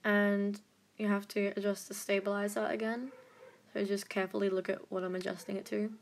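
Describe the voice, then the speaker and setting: A woman's voice: medium pitch, flat delivery, fairly quick. A young woman narrating a drawing-app editing tutorial in a casual, rambling way, full of fillers and self-deprecating asides. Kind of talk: casual screen-recorded tutorial.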